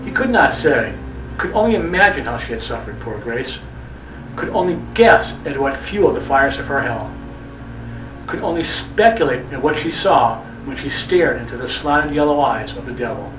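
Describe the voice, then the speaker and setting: A man's voice: medium pitch, lively intonation. Man dramatically narrating, swooping echoey voice. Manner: brooding, theatrical, deliberate phrasing with repetition. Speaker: storyteller performing a monologue. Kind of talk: dramatic literary monologue narration. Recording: echoey, distant mic, music underneath. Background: music.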